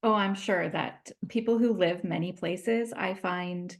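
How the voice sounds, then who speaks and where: A woman's voice: on the low side, measured pace, gravelly. Woman hosting a casual interview. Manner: friendly, meandering questions with fillers. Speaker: podcast host. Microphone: video call.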